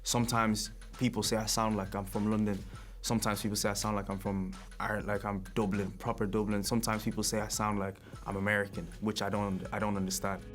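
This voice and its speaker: A young man from Nigeria answers documentary questions in a low, level voice. He speaks in a relaxed, reflective way and chuckles often. Faint music runs underneath. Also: fast. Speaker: rapper. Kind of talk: documentary interview.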